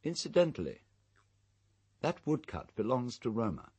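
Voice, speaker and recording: An English actor in a radio drama playing an urbane, fastidious gentleman host, wry and formal in manner. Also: mid-range voice, sing-song, noisy background, natural voice. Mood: dry, measured. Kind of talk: British radio drama acting.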